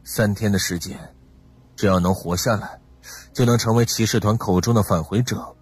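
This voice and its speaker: Man acting as a composed young hero, low pitch, slightly husky, serious, measured, audiobook drama. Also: steady tone. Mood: pensive, grave. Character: calm, polite, calculating young foreign man.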